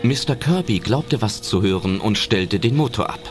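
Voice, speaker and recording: A man's voice: lowish voice, lively intonation, normal speed. A man reading scripted audio drama narration as a storyteller. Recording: narration over loud film music.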